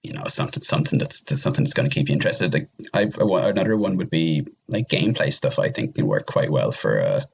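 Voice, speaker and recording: A man's voice: extremely low pitch, lively intonation, quick pace, creaky, few pauses, breathy edge. A man speaking as a podcast guest in a casual discussion of games, rambling and often restarting his phrases. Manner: hesitant, rambling, repeats phrase starts.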